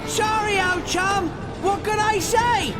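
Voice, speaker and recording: A man's voice: very high voice, fairly even intonation, slow, a loud crowd. A man voicing a jolly, treacherous pirate robot sidekick who tells tales in hammy pirate talk for a cartoon game. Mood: gleeful, mocking. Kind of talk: theatrical cartoon pirate storytelling. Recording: loud music behind.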